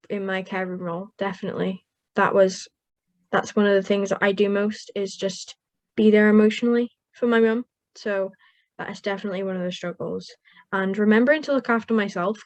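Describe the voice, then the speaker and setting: Young woman, from Wales, first language Welsh, earnest, upbeat, laughs often, medium pitch, dry sound. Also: no room sound. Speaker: young carer guest. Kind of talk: interview answers.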